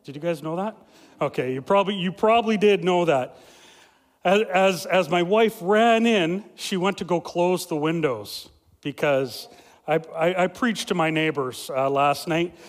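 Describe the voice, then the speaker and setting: A young adult man, a family pastor, preaching a loud, lively sermon with many fillers and restarts. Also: high voice, a little slow. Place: church sanctuary. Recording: reverberant church hall.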